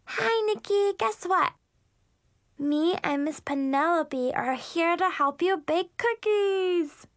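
Squeaky, mischievous little girl. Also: expressive, slow pace, a bit bright, no echo, clean studio sound, exaggerated voice. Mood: cheerful. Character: excitable mischievous little girl, pesky younger sister.

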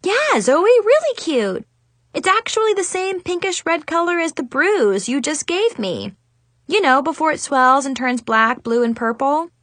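A woman voicing a sarcastic, excitable schoolgirl in a storybook, with a gushing, exaggerated way of talking. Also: high-pitched, a little slow, dry recording, voice pushed up, exaggerated voice. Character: dramatic, sarcastic, excitable schoolgirl telling her own story.

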